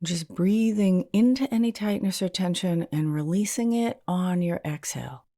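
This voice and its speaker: A woman leading a guided meditation as a hypnotherapist, in a soothing, gentle and unhurried manner. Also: lowish voice, no echo, clean studio sound.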